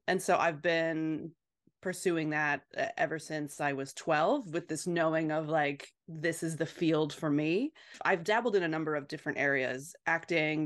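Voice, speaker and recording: A woman answering as a podcast guest in casual, reflective chat, halting and then quickening. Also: sits low, a bit bright.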